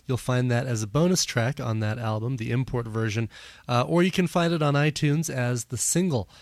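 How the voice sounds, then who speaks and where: A man hosting a music podcast in casual chat, his voice a little hoarse, talking with plenty of fillers. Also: mid-range voice, moderate pace, darkish tone, dry recording. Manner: rambling, many fillers. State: slightly hoarse, losing his voice. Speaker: podcast host.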